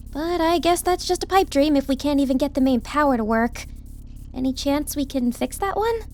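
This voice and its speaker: A woman's voice: sits high, moderate pace, a little mellow. A woman voicing a curious, hopeful young girl adventurer in an audiobook drama, informal and questioning.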